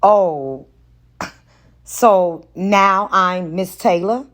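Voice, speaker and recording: A woman's voice: on the low side, very slow. A woman narrator voicing a sassy, assertive, flirtatious woman in an audiobook romance.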